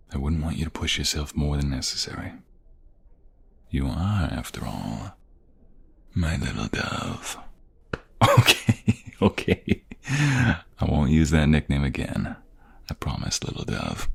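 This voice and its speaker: A man playing a protective, teasing ex-mobster devoted to his love in an intimate ASMR roleplay, soft and breathy. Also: extremely low pitch, big pitch swings, slow pace, long pauses, heavily acted. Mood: tender, teasing. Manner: soft and intimate, breathy, often dropping to a whisper. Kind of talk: ASMR romantic roleplay acting.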